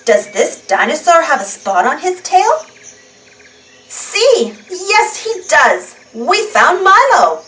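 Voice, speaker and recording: Animated high-pitched woman reading a children's story. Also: slow, distant mic, a little hiss or hum. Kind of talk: animated read-aloud storytelling for young children.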